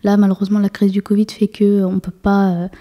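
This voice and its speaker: Young woman in her 20s, interview guest; monotone, hesitant, restarts a lot. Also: medium pitch, fast talker. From France. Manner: hesitant, many fillers and restarts. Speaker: guest, municipal councillor. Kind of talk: casual interview chat.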